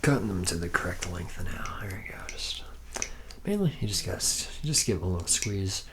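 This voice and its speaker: A man's voice: sits low, expressive, brisk, very noisy. A man playing a laid-back, drunken womanizer who works at a robot factory, rambling and boasting in slangy, repetitive talk for an ASMR roleplay. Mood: lazy, relaxed. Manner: slack, rambling, boastful, slangy, repeating himself. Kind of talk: ASMR roleplay, soft improvised-sounding monologue.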